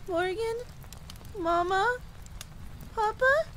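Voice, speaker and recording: Frightened, high-pitched little girl mimic. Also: extremely slow, a bit bright, a little hiss or hum, full character voice, rough recording. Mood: scared, pleading. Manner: pleading, frightened cries. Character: Wendigo mimicking a scared young girl calling for help.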